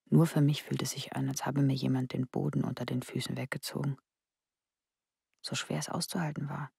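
A husky, gravelly young woman voicing inner thoughts, dry close sound. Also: rumbling low, no echo, exaggerated voice. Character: grieving older daughter, gentle yet bitter. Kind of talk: radio drama acting.

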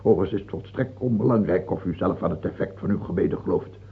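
An actor playing a dying, tyrannical king of France in a radio drama, fearful and halting, then imperious. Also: low-pitched, gravelly, slightly rough, full character voice. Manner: halting and stammering, turning imperious. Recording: muffled, tinny old radio-play recording.

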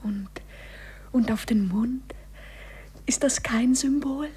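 Slow, breathy, coy young sweetheart. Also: female voice, fairly high pitch, mellow, heavily acted. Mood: coy, enamoured. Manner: coy, lingering, teasing. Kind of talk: 1950s German radio play acting. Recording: 1950s radio recording, music behind.

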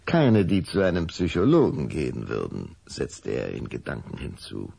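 A man's voice: very deep voice, huge pitch swings, moderate pace, creaky, mellow, husky, exaggerated voice. A man narrating a children's audio drama as its scripted storyteller. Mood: measured storytelling. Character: male storyteller narrating a children's vampire tale.

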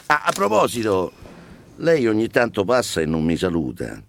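A fussy doorman in a radio play. He stammers and insists in a gravelly voice that swings up and down, always flustered and ready to complain. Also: on the low side, huge pitch swings, moderate pace, no echo, full character voice. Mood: grumbling, reproachful. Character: flustered, complaining building doorman pestering the receptionist. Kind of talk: radio drama acting.

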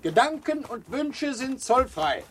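A man's voice: extremely high pitch, big pitch swings, measured pace, fairly bright tone, a little hiss or hum, full character voice. An actor playing a flirtatious army major in his forties in a German radio drama, urbane and insinuating.

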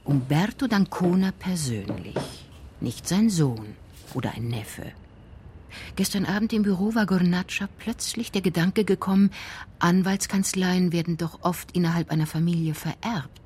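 Narrator for radio crime play: mid pitch, composed, literary, descriptive scripted read. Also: expressive, normal speed, a little mellow, a little hiss or hum.

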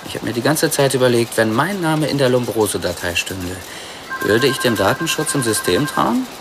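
An actor playing a clever, slightly smug computer expert, explaining things in a wry, pedantic way in a radio drama. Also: male voice, mid-range voice, animated, moderate pace, natural voice. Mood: pleased, cunning.

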